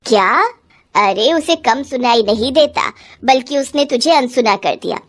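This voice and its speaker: A woman's voice: fairly high pitch, expressive, measured pace. A woman voicing a stingy, fussy older mother-in-law in a cartoon story, grumbling and full of complaining exclamations.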